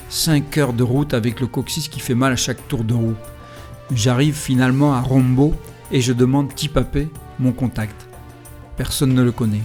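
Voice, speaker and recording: A man at an ordinary pitch narrates his travels in a calm, reflective voice-over. Faint music plays softly behind him. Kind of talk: first-person travel narration. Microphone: voice-over recorded separately.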